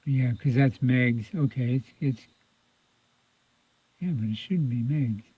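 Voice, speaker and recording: A man giving an informal, folksy repair demonstration, thinking aloud in a halting mutter as he works. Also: mid-range voice, slightly rough, no echo. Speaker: radio repair hobbyist presenting own repair video. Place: indoors at a repair workbench. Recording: muffled phone-like audio, lo-fi.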